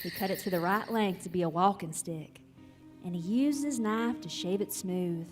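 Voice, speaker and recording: A woman's voice: fairly low pitch, normal speed, over music. A woman storyteller narrating a folksy horror tale live on stage, with dramatic phrasing and rustic dialect. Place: live stage in a recreation center.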